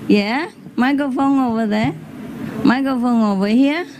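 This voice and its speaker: A woman's voice: on the high side, unhurried, a little mellow, slight background noise, low-quality recording. A woman leading an informal question-and-answer gathering, who speaks in a chatty, teasing way with short questions. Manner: chatty, teasing, laughs often, brief questions. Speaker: spiritual teacher leading a gathering. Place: large indoor meeting hall with an audience.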